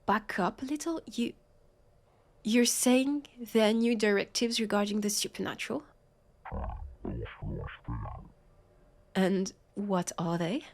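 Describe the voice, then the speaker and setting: A woman with a French accent playing a weary, sardonic vampire police officer in an audio roleplay, halting and exasperated. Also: mid-range voice, close to an everyday voice. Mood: pleading, shocked. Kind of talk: scripted audio roleplay acting.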